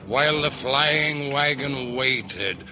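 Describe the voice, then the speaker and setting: A man's voice: mid-range voice, takes it slowly, close to an everyday voice. A man playing a solemn Apache warrior who narrates a Western radio drama in an incantatory way. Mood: solemn, ominous.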